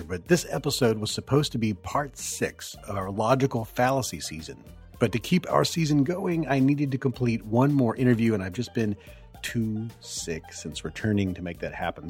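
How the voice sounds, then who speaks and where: A man hosting a podcast, talking alone in a hoarse voice as he gets over the flu, rambling and correcting himself as he goes. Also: fairly low pitch, a little mellow. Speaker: podcast host. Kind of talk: solo podcast monologue.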